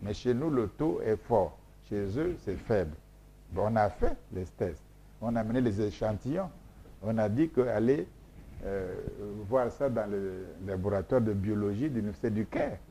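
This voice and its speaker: Emphatic, lecturing Congolese man, mellow voice. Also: lowish voice, lively intonation, normal speed, slight background noise. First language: Mboshi. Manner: emphatic, repetitive, lecturing, many hesitations. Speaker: scholar being interviewed. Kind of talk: explanatory interview talk.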